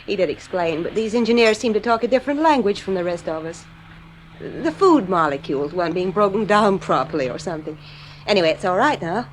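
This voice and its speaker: A woman's voice: mid-range voice, lively intonation, fairly quick, slight background noise, natural voice, poor audio. An actress playing a caring but uneasy wife and mother in a futuristic 1950s radio drama. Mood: questioning. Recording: vintage radio broadcast recording.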